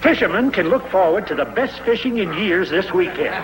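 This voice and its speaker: An actor playing a hearty, eager American workmate in a 1950s sitcom. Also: male voice, high-pitched, expressive, fairly quick. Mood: excited. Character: eager, hearty workmate mad about fishing. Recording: noisy old 1950s television soundtrack.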